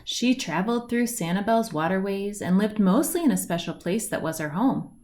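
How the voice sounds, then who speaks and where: A woman reading a children's picture book aloud, with deliberate phrasing, stretched words and lilting lists. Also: medium pitch, expressive, a little mellow, a little hiss or hum. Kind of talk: read-aloud storybook narration. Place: indoors at home, at a wooden table by a window.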